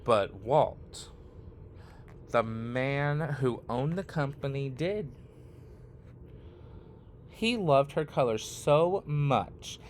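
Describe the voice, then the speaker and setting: A man reading a picture book aloud expressively, in short phrases with deliberate, dramatic pauses. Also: mid-range voice, slight background noise. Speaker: read-aloud storyteller.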